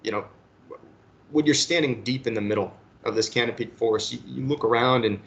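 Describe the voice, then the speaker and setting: A man's voice: mid-range voice, brisk, vocal fry, highs cut off. A man in his thirties giving an earnest, prepared talk on a webinar panel, often restarting his phrases as he speaks. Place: webinar call.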